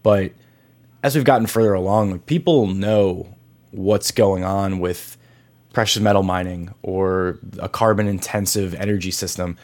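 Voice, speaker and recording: A man hosting an interview show, reading a smooth scripted introduction and asking questions conversationally. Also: low-pitched, fairly even intonation, measured pace, a little mellow. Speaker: podcast host.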